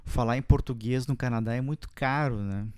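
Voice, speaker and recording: Brazilian male podcast host, smooth and clear voice, conversational. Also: medium pitch, fairly quick, a little mellow. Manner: friendly, conversational, shares own stories. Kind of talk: casual interview chat.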